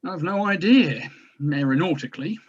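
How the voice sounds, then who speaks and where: A man's voice: on the high side, expressive, normal speed, slightly rough, lo-fi sound. A man playing a nervous, dry-witted helicopter passenger in a drama table read. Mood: uneasy.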